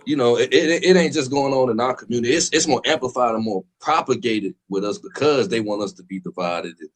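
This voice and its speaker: A man's voice: mid-range voice, brisk. A man co-hosting a casual boxing chat, rambling in a slangy way and constantly checking in with his listeners. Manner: rambling, slangy, fills with "you know what I'm saying". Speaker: podcast co-host.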